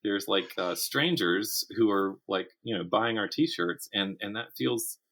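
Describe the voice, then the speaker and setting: A man chatting casually as an interview guest, telling stories in animated, stop-start phrases. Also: on the low side, measured pace. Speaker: podcast guest, writer and writing teacher.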